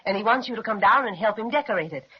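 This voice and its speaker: An actress playing a gentle, fretting mother of two children, with a breathy voice, in a 1930s radio serial. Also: medium pitch, animated, quick pace. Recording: muffled old radio recording.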